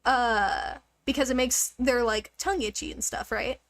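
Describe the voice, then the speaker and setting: A woman's voice: on the high side, fairly even intonation, normal speed, vocal fry, a bit bright. A woman livestreaming a game, chatting casually with viewers in a joking, self-deprecating way. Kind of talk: casual rambling chat with viewers.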